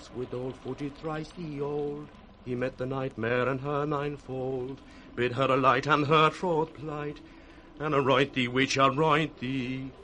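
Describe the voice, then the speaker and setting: Sharp-voiced raving mad beggar. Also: male voice, medium pitch, fairly even intonation, slow. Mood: frantic raving. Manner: chanting, breaks into sudden cries. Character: raving male mad beggar, haunted by fiends. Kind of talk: 1960s Shakespearean radio acting. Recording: faintly noisy 1960s radio recording.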